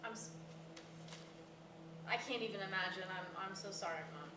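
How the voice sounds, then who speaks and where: An actress playing a young grieving granddaughter in a staged play reading, casual, wry and quick to push back. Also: female voice, medium pitch, close to an everyday voice. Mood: apologetic, soft. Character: grieving young granddaughter, apologetic yet teasing and argumentative. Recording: echoey noisy room, distant mic.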